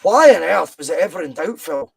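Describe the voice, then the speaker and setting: High-voiced Scottish man, passionate football talk. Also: sing-song, a little fast, creaky, slightly rough. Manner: passionate, emphatic, repeats for stress. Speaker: podcast host. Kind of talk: casual football chat.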